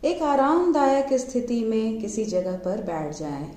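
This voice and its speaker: A woman with an Indian accent guides a meditation, giving measured instructions in short phrases with pauses between them. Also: on the high side, sing-song, mellow. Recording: roomy echo, music underneath. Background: music.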